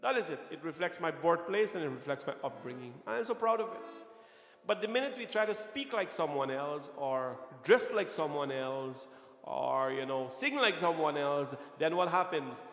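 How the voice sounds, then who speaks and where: A middle-aged Guyanese-accented man delivers an earnest sermon, repeating key phrases and tossing questions to the congregation. His voice sits a bit high for a man. It rings through a reverberant temple hall. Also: animated, normal speed, a bit bright. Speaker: temple pastor.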